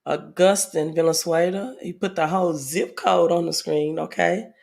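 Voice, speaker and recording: A woman hosting a casual livestream, a little hoarse from a head cold, reading viewer comments aloud with many fillers. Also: fairly low pitch, steady tone, moderate pace, a little mellow. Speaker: livestream host.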